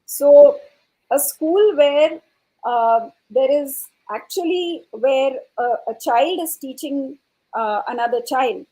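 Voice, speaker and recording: A woman explaining her ideas as a panelist in a discussion, with pauses mid-thought. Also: high voice, mellow, low-quality recording. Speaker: panelist, educator.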